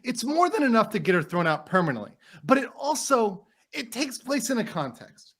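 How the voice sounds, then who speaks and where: A man's voice: very high voice, sing-song, normal speed, a bit bright, heavily acted. A man doing a dramatic reading as an indignant, courteous trial lawyer, formal and emphatic as he argues to a judge. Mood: outraged.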